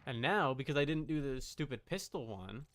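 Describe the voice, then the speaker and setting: A man's voice: medium pitch, lively intonation, moderate pace, fairly bright tone. A man giving casual, offhand live commentary as he plays a video game, muttering to himself and playfully taunting enemies.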